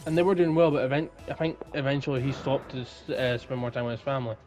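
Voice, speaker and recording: Man, average pitch, casual podcast co-host, informal talk, faint music in the background. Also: fast, a little mellow.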